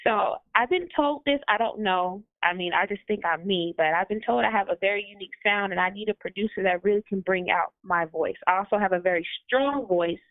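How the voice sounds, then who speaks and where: A woman's voice: medium pitch, fairly quick, few pauses, no room sound. A woman musician answering interview questions in a chatty, apologetic way, with frequent laughs. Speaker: guest musician. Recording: tinny phone or call line.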